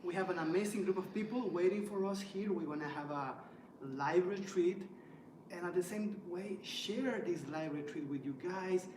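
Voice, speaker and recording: This is a man born in Ecuador, welcoming his listeners with bright enthusiasm. He stacks up emphatic words and tends to fill his pauses with "you know" and "like." His voice sits on the high side and is picked up from a distance in a reverberant room, with steady background noise. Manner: excited, emphatic listing with many fillers. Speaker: host. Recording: echoey room, mic at a distance, noisy.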